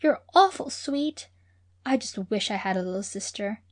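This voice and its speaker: A woman's voice: mid-range voice, animated, normal speed, close to an everyday voice. A woman voicing an affectionate young girl who talks eagerly and effusively. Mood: wistful.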